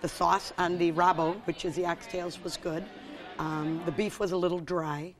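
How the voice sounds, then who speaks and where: Woman guest reviewer, lowish voice, chatty. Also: little pitch movement, normal speed, faint music behind. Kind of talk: casual chat.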